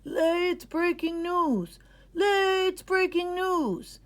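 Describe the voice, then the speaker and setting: A woman voicing an elderly grandfather groundhog in a children's storybook, a proud, folksy announcer proclaiming slowly and loudly. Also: very high voice, pitched above the performer's own voice, heavily acted. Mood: grand, announcing. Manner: slow, loud, proclaiming. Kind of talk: children's storybook read-aloud.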